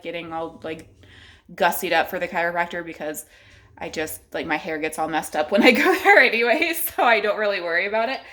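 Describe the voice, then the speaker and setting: A young woman chatting casually in a reading vlog, rambling with many fillers and sometimes dropping to a hush. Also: fairly low pitch. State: congested. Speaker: book vlogger.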